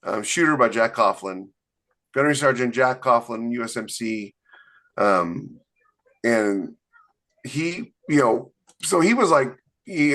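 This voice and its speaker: A man's voice: mid-range voice, fairly bright tone. A man co-hosting a podcast in casual panel chat, talking in a rambling, emphatic way full of "you know" fillers. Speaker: podcast co-host and author.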